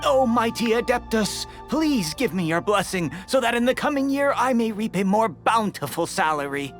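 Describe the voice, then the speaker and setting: Woman as a pleading, highish-voiced townswoman. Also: animated, normal speed, soft background music, natural voice. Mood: pleading awe. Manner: pleading, flowery. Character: reverent, greedy townsperson begging a blessing.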